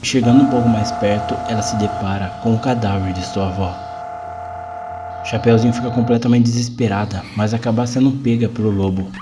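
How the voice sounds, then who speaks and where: A man's voice: fairly low pitch, noisy background. A man with a Brazilian accent narrating a scripted horror story.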